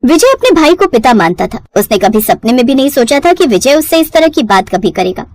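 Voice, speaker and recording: A woman's voice: high voice, big pitch swings, a little fast, a little mellow, no echo. A woman narrating a scripted cartoon story as a storyteller.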